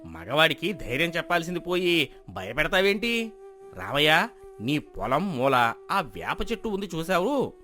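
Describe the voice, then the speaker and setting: A man playing a sly, smooth-talking village moneylender in an acted folk tale, persuasive and condescending. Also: fairly high pitch, very animated, measured pace, pushed and bright, soft background music, full character voice. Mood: scornful, insistent. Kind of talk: dramatized folk moral story acting.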